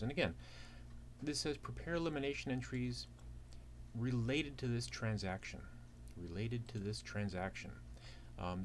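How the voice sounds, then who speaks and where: Man, lowish voice, tutorial walkthrough, step-by-step explaining, noticeable background noise with typing. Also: darkish tone. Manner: explains step by step with rhetorical questions. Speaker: accounting teaching assistant. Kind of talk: instructional walkthrough of a worked problem.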